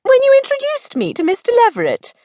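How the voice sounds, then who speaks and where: A woman voicing a pert, witty young society lady in an audiobook, arch, playful and sharp-tongued. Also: sits high, huge pitch swings, unhurried, dry recording, clean studio sound, voice pushed up, heavily acted. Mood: playful teasing.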